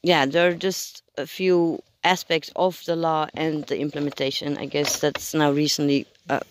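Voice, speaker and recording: An adult vlogger speaking hoarsely because they are sick, talking in a halting, hesitant way and searching for words during a casual video check-in. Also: mid-range voice, little pitch movement.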